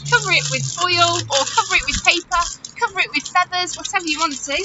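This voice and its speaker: A woman talking chattily to young children as their teacher, animated and full of questions and wonder. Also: very high voice, a little fast. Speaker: children's teacher hosting story follow-up activities. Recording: dull audio with loud noise and clicks.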